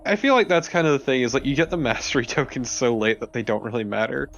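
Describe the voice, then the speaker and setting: A man's voice: mid-range voice, fast talker, vocal fry, a bit bright, highs cut off. A man co-commentating on a video game in casual, wry banter with some swearing. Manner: wry, profane. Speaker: co-commentator of a let's play. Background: game soundtrack music.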